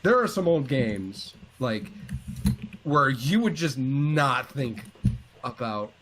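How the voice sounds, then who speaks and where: Guy cohost talking games casually, normal mid pitch, opinionated n a bit jokey. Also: lively intonation, slow, a bit bright, slightly rough. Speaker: co-host of a video game series. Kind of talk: casual chat about video games.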